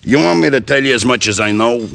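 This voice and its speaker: A man's voice: mid-range voice, fast talker, creaky, fairly bright tone. A man playing a surly, greedy bartender in an old-time radio crime drama, talking in tough street slang. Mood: grudging. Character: surly, greedy male dive-bar bartender.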